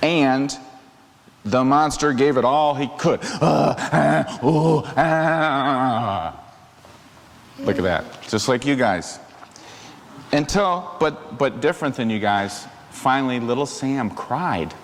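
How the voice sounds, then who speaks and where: Unhurried man reading aloud in a reverberant hall. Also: mid-range voice, lively intonation. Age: middle-aged. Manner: unhurried, playful, chatty asides. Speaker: minister reading a picture book to children. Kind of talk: animated read-aloud story time with asides to children. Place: large church hall, seated among children. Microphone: lavalier clipped to shirt. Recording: reverberant hall, faint background noise.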